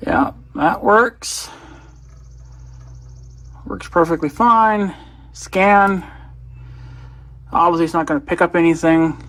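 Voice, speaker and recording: Male vlogger, slightly higher-pitched voice, casual hands-on demo, thinking out loud. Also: takes it slowly, long pauses. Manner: rambling, thinking aloud. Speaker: video blogger. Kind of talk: casual hands-on gadget demonstration.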